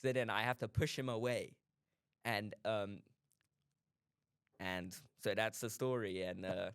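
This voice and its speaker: A man's voice: fairly low pitch, fairly even intonation, a little slow, smooth, dry recording. A man from Pakistan, a guest in a radio studio, telling an odd anecdote in a hesitant way with lots of fillers. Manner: hesitant, lots of uh and um, laughs at himself.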